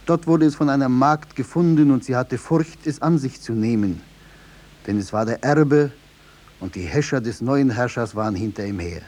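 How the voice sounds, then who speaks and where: An actor playing a storyteller who recounts an old grim legend in a measured, declamatory 1950s German radio drama style. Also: male voice, medium pitch, animated, a little mellow, lightly acted. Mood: solemn, narrating. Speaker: narrator of a play.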